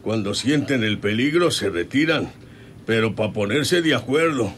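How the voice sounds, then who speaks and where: An actor playing a shrewd, steady rural outlaw leader in a radionovela, speaking in a folksy, deliberate way with a northern Mexican accent. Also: male voice, mid-range voice, a little hiss or hum. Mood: cautioning. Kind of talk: radionovela acting.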